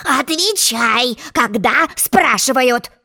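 A woman's voice: sits high, animated, slow, pushed and bright, heavily acted. A woman voicing a blustering, scatterbrained king in a storybook, with pompous exclamations. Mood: angry.

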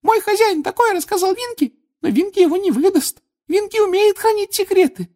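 A storybook reader voicing a tearful, indignant little female house-elf, very shrill and squeaky, wailing and scolding in broken grammar. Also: lively intonation, brisk, darkish tone. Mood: angry, loyal.

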